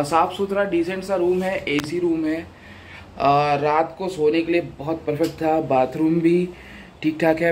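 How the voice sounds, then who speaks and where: A man in his thirties, a travel vlogger chatting casually to his phone camera with plenty of fillers, filming from a car at night and later from a hotel room. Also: on the high side. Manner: chatty, talks straight to camera with many fillers. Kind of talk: casual travel vlog narration. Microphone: phone camera held at arm's length. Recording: noisy phone recording with road and car sound.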